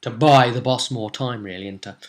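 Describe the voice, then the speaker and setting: A man, a game master telling the story of a role-play session in a casual, animated way. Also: medium pitch, fairly quick. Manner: animated storytelling, acting out players' reactions. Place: small room at home, indoors.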